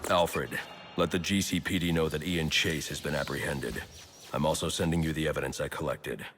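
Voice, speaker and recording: An actor playing a grim, intimidating masked vigilante in a video game, gravelly, terse and commanding. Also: male voice, very deep voice, steady tone, fairly quick, a bit bright, a little hiss or hum. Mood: businesslike. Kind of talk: dramatic video game acting.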